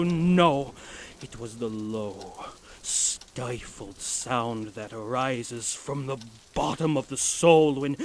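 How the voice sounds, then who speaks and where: A man's voice: a little high, slight background noise. A man playing a nervous, obsessive mad murderer in a gothic horror audio drama, speaking theatrically and stumbling over repeated words. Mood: hushed dread.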